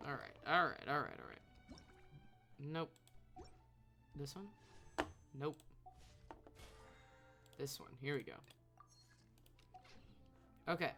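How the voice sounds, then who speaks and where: A woman's voice: low voice, expressive, slow pace, long pauses. A woman streamer giving casual, blunt live commentary on a video game. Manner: reacts bluntly, talks to the game characters. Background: faint game music.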